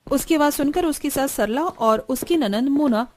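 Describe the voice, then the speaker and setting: A woman's voice: high voice, expressive, moderate pace, slight background noise. A woman reading scripted narration for a cartoon story.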